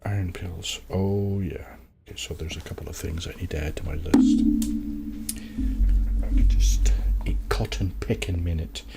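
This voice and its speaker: A man's voice: low voice, steady tone, a little slow, creaky, over music. A middle-aged man with a sniffly nose gives casual, rambling game commentary, muttering to himself and trailing off as he plays. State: sniffly with a cold or allergies. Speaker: game streamer. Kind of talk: casual gameplay commentary, reading game text aloud. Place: indoors at home, at a computer. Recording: noisy room with keyboard clatter.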